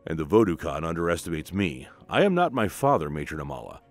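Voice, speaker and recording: A man voicing a proud, distrustful young king in a clipped, measured manner, for a comic-book retelling. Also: low-pitched, sing-song, faint music behind, voice pushed down. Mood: curt, defiant.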